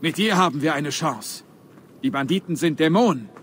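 A thankful but fearful villager in a video game. His voice is a little high. Outdoor noise is clearly heard behind. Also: animated, moderate pace, fairly bright tone. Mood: thankful, anxious.

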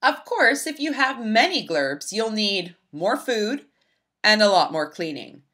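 A woman reading a children's picture book aloud in an expressive, emphatic storybook style. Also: medium pitch, a little slow. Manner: animated, emphatic, storybook delivery.

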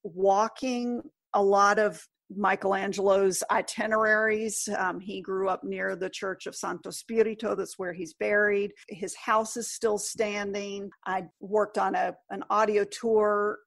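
A woman's voice: medium pitch, level tone, measured pace, creaky, hardly any pauses, dry recording. A middle-aged woman answering interview questions as a guest author, in a thoughtful, articulate conversational way.

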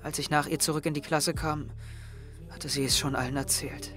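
Hesitant, earnest teenage boy played by a man, higher voice. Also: steady tone, normal speed, music in the background, natural voice. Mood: sad, subdued. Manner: hesitant, subdued. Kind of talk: audio drama acting.

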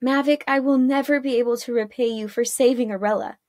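A woman voicing an emotional long-lost mother in a storybook, gentle and earnest. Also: on the high side, fairly even intonation, brisk, no room sound, studio quality, close to an everyday voice. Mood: tender, sorrowful.

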